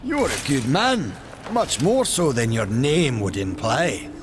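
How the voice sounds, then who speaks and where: An actor playing a good-natured young giant blacksmith in a video game, folksy and earnest. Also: male voice, medium pitch, very animated, slow, noisy background. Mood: friendly. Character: eager good-natured young Maker smith, brawny giant. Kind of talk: video game character acting. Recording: game music behind.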